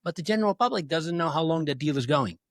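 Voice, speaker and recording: A man with a fairly high voice, co-hosting a casual tech and crypto podcast. He thinks aloud, hesitating and restarting as he talks. The sound is dry and close, with no room around it. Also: lively intonation, fast talker, dry recording. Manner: thoughtful, hesitant, with fillers and restarts. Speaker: podcast co-host. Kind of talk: casual chat.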